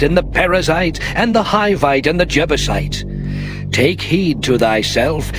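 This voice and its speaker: A man playing a solemn, earnest biblical speaker in a dramatized scripture reading, measured and declamatory. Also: a little high.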